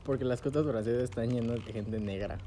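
A man's voice: mid-range voice, fairly quick, warm tone, a little hiss or hum. A young man with a Puebla accent, teasing and laughing in casual podcast banter. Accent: Puebla, Mexico. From Puebla, Mexico. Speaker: podcast co-host.

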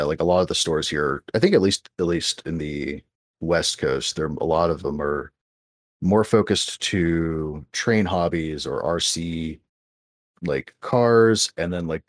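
A man's voice: rumbling low, no room sound, clean studio sound. An American man chatting casually on a podcast about model kits, rambling with lots of fillers and restarts. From United States. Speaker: podcast co-host. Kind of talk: casual chat.

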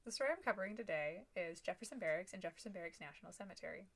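A woman's voice: on the low side, lively intonation, rapid-fire. A young adult woman co-hosting a casual podcast, recounting history from her notes with small restarts and asides. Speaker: podcast co-host. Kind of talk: casual chat, then telling a researched history.

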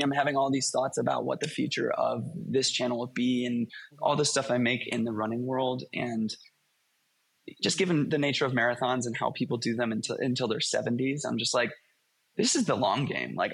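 A man talking casually as a podcast guest, animated and telling stories, quoting himself as he goes. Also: medium pitch, brisk, creaky, breathy edge, low-quality recording. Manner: animated storyteller, quotes himself. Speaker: podcast guest, running YouTuber. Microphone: remote call.

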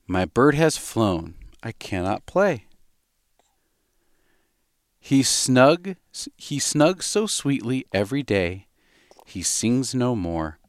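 A man reading as a sad little girl mourning her lost pet, reciting storybook verse slowly and deliberately. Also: medium pitch, sing-song, no echo, studio quality.

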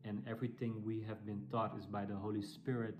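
Halting Dutch-accented man, low and flat. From Netherlands. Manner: halting, many fillers and restarts. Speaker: ministry founder. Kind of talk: self-introduction to camera.

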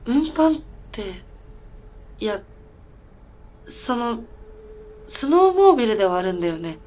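A woman playing a bewildered but determined snowmobile mechanic in a radio drama, talking casually and haltingly with disbelief. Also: a little high, sing-song, dark tone. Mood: incredulous.

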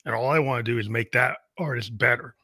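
A man's voice: medium pitch, fast talker, sharp tone, breathy edge, no echo, studio quality. A man hosting an interview as a music producer, talking in an earnest, reflective way. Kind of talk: casual interview chat.

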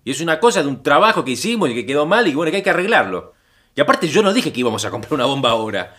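A man's voice: on the high side, very animated, racing, a bit bright. An actor playing a defensive, grumbling middle-aged husband and father with a Rioplatense Argentine accent in naturalistic audio fiction. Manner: colloquial, defensive, swinging in emphasis.

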